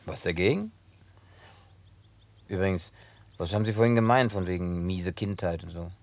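A man's voice: deep voice, moderate pace, gravelly, a little hiss or hum. An actor dubbing a wry, teasing farm-boy type in a German film, talking dryly and laconically. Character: wry grown man, a farm boy at heart, teasing and guarded. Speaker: Jim, survivor in a German film dub.

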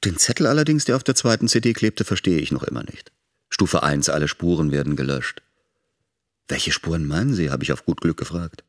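A man playing a wary, brisk character recounting his dealings in a radio drama. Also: very deep voice, expressive. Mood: brisk, puzzled.

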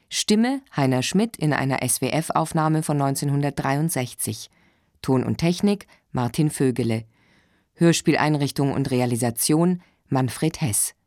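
A radio announcer reads credits slowly in a plain, factual way. The voice sits at a medium pitch, each item set apart, in a dry acoustic with no room sound. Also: a little mellow, no echo.

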